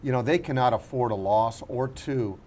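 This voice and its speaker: A man's voice: medium pitch, moderate pace, darkish tone, slight background noise. Folksy rambling man talking sports. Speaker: sports show panelist. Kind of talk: conversational sports commentary.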